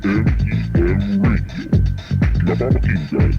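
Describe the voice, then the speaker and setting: A man's voice: low-pitched, slow pace, vocal fry. A man delivering scripted station identifications as a radio announcer, recorded from the radio onto cassette. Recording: dull radio cassette, loud music.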